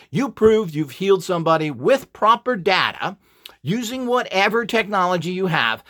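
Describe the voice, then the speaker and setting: Higher-pitched, animated man presenting. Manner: opinionated, blunt, emphatic. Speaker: instructor and video presenter. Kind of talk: informal instructional monologue.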